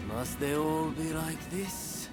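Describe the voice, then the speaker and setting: An actor playing a harried but polite samurai overseer in a video game, with a measured, formal manner. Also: male voice, a little high. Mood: exasperated. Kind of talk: video game cutscene acting.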